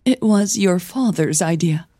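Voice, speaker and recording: A woman voicing a warm, excitable middle-aged mother, affectionate and effusive, in an audio drama. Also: fairly low pitch, unhurried, breathy edge, dry recording, clean studio sound.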